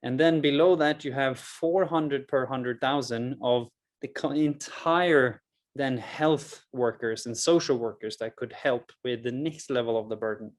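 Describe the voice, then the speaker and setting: A man in his thirties from rural Norway, speaking English with a Norwegian accent as he gives a personal, earnest presentation with deliberate, emphatic pauses. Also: medium pitch. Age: 30s. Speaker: public speaker, mental health project founder.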